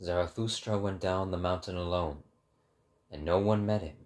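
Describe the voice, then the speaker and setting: A man's voice: deep voice, moderate pace, a little mellow. A man narrating a book in a dramatic, scripted style.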